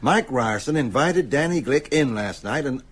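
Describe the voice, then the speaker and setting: An actor playing an older, learned schoolteacher in a radio drama, grave and anxious, speaking with earnest, deliberate phrasing. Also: male voice, mid-range voice, expressive, breathy edge. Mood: grave, worried. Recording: music under the voice.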